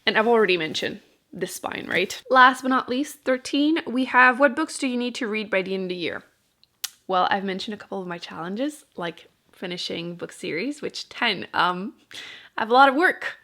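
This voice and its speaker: A woman with a noticeably creaky, fry-edged voice at a middling pitch. She chats informally as a book vlogger, rambling a little and cutting into her own sentences with dry understatement. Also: animated, moderate pace, pushed and bright. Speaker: book vlogger and channel host. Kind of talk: casual chat to camera about books.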